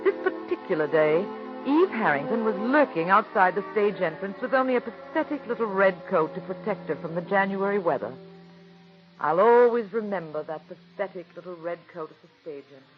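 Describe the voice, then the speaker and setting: A woman's voice: medium pitch, expressive, brisk, close to an everyday voice. Over a dull old radio recording with music comes an actress as a worldly, kindly wife telling her story. Her delivery swoops expressively in 1940s radio drama style. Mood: wistful, reflective. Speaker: lead actress and narrator.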